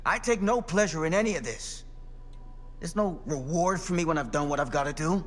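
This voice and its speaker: A man's voice: on the high side, fairly quick, fairly bright tone, close to an everyday voice. A man playing a weary, driven former sheriff in a video game, speaking in a grave, confessional way. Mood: weary, resigned. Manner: brooding, solemn, confessional. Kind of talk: video game cutscene acting. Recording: reverberant hall, light background noise.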